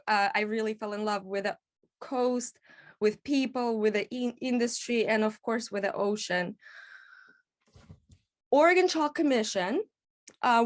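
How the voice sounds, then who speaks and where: A woman from Kazakhstan giving a measured presentation at a public panel, with frequent "um" pauses. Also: a little high. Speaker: executive director, invited speaker.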